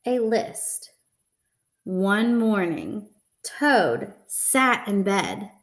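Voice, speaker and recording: A woman reading a children's picture book aloud deliberately, pausing to explain what the pictures show. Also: medium pitch, big pitch swings, reverberant. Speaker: storybook reader.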